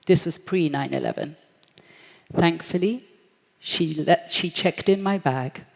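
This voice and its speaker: British woman in her thirties, low gravelly voice, storytelling speaker, big echoey hall. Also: measured pace. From Britain. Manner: lively storyteller, acts out quoted voices. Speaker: speaker at a forum. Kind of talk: storytelling talk. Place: large hall. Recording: echoey hall, long reverb.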